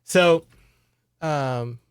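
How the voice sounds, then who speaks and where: A man's voice: on the high side, extremely slow, clean tone, no echo, clean studio sound. A man co-hosting a podcast, recounting a book in casual chat with wry, mock-grandiose humor. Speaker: podcast co-host.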